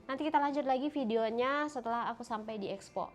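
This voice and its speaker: A woman's voice: on the high side, fast talker, heavy background noise. A young woman with a nasal, congested voice from a cold, chatting casually to viewers while filming a hotel room tour on a handheld phone. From Indonesia. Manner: chatty, talking to viewers, pointing things out. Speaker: vlogger. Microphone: handheld phone camera.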